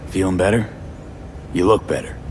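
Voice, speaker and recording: A man's voice: sits low, moderate pace, darkish tone, slight background noise, close to an everyday voice. An actor playing a tough, skeptical male mercenary in a video game, with a dry, laconic and clipped delivery. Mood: dry, casual. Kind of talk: cinematic game dialogue.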